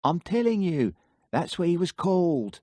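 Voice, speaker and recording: A man's voice: sits high, big pitch swings, moderate pace, mellow, no room sound, studio quality, pitched above the performer's own voice. A man reading aloud as a sulky, wary young man with an English accent. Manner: sulky, mumbling.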